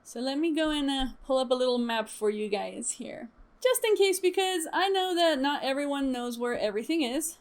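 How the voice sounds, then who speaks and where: A young woman from Chile chatting casually to her stream viewers, lively and laughing often, with many fillers. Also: high voice, normal speed. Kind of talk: casual storytime chat.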